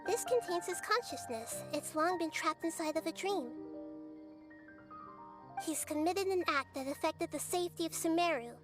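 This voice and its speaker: A woman voicing a small, wise young girl deity in a video game, polite, composed and measured. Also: very high-pitched, expressive. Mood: calm, firm. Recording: music behind.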